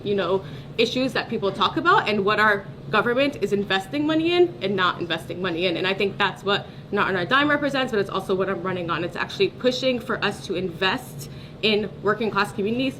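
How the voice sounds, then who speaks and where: A woman's voice: medium pitch, lively intonation, fast. A woman speaking as a political candidate in a casual, earnest, emphatic interview style. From New York City. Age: 30s or older. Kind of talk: casual conversational advocacy. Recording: faint background ambient noise.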